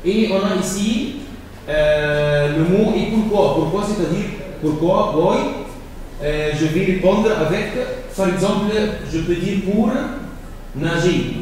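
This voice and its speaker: A man walks through French exercises like a teacher, slow and halting, often repeating himself. His fairly high voice sits far back in a reverberant room with long echo. A faint hum of room ambience runs underneath. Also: darkish tone. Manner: hesitant, repeats words and fills pauses with euh.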